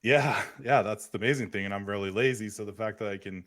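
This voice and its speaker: Easygoing man, low voice. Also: fast talker, noisy background. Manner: easygoing and self-deprecating, laughs often. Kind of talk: casual chat.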